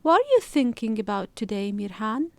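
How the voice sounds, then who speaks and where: Woman playing an eager, excitable young girl, very animated. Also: a little high, moderate pace, no room sound. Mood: teasing. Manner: excitable, exclaiming. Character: bold, eager young girl, adventurous and teasing best friend.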